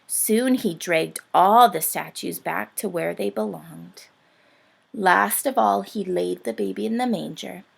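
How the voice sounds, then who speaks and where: Female children's story reader: expressive and dramatic, slow delivery, husky voice with some fry. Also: mid-range voice. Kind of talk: expressive read-aloud of a picture book.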